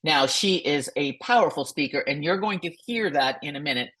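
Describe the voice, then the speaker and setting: A woman's voice: low-pitched, lively intonation, brisk, a bit bright, breathy edge. A woman hosting a motivational podcast as a coach, enthusiastic and effusive, who sometimes stumbles over words while reading.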